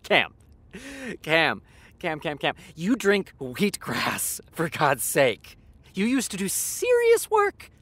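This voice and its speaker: An actor playing a sardonic, defensive television writer with a dry, theatrical way of talking, in naturalistic audio drama style. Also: male voice, fairly high pitch, wildly swooping pitch, slow, a bit bright, exaggerated voice. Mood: incredulous. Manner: dry, sarcastic, dramatic emphasis. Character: sardonic, defensive male TV showrunner, harried and theatrical.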